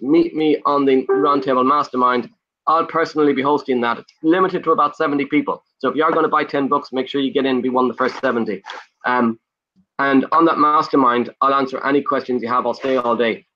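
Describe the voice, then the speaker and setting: An Irish man on a video call makes an eager sales pitch, rattling off lists in quick bursts. His voice is bright and forceful, but the call audio is dull and lo-fi. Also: slight background noise. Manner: fast, pushy, lists things off in rapid bursts. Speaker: guest, property investor. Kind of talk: enthusiastic sales pitch in conversation.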